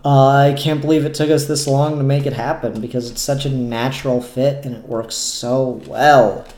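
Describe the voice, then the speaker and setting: A man's voice: medium pitch, fairly even intonation, normal speed, darkish tone, echoey room. A man giving casual, opinionated review commentary on comic books in a rambling, enthusiastic way.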